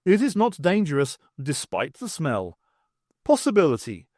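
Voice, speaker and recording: A man's voice: fairly high pitch, wildly swooping pitch, moderate pace, darkish tone, dry recording. Guy doing a squid-faced alien pirate, cold logic, clipped bits of speech, obsessed with facts, dry close sound. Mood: analytical. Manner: clipped, formulaic statements.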